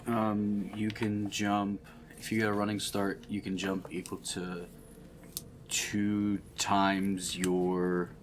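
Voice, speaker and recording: A man with a fairly low voice talks casually as a player in a tabletop game. There is faint noise in the background. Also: completely flat delivery, slow.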